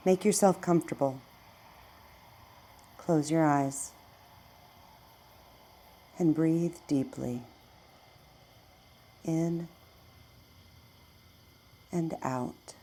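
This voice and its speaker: Female narrator for guided meditation: lowish pitch, creaky texture, measured and hushed scripted delivery.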